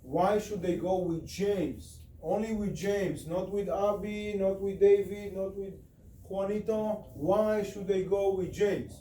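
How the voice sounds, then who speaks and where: A man's voice: high-pitched, slow pace. A man in his forties with an Israeli accent, coaching a sales team in a small office, pacing and gesturing as he talks. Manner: animated, talks with his hands while pacing. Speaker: sales trainer. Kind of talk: sales training session. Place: small office room with whiteboard. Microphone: far camera. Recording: echoey room from far off, faint hiss.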